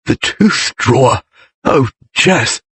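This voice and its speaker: A man's voice: medium pitch, expressive, takes it slowly, a bit bright, husky, no room sound, exaggerated voice. A man voicing a brooding, proud nobleman in an audiobook, speaking in clipped, formal, dryly witty period style. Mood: shaken, rueful. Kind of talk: audiobook character voice.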